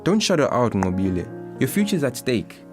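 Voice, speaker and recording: A man's voice: mid-range voice, sing-song, moderate pace, loud music behind the voice, close to an everyday voice. A man playing a patient, persuasive friend in a radio drama, coaxing and reasoning. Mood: patient persuasion.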